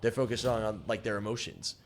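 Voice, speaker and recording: A man's voice: lowish voice, quick pace, a little hiss or hum. A young man streaming while he plays a game, chatting loosely and frankly with lots of "like" and some swearing. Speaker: streamer and pro team coach. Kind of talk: casual chat while gaming on stream.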